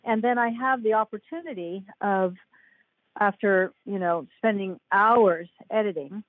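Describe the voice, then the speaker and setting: An older woman talks in a chatty, rambling way, full of fillers and restarts and quick to laugh. Her voice comes through a narrow, phone-like line. The sound is dry, with no room around it. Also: medium pitch, no room sound. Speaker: guest author. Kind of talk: casual interview chat.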